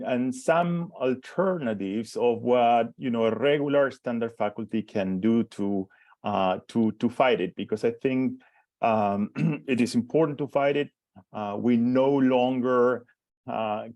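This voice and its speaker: A man's voice: mid-range voice, warm tone, dry recording, muffled recording. A man in his forties from Chile with a Chilean Spanish accent, giving a conference talk as a professor, hesitant with many restarts and fillers. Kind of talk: conference presentation.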